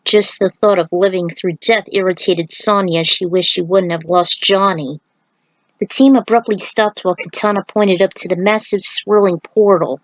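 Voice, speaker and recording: A woman's voice: mid-range voice, fairly even intonation, normal speed, no room sound, poor audio. A woman narrating her story aloud, with casual asides to listeners. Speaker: narrator reading own fan fiction.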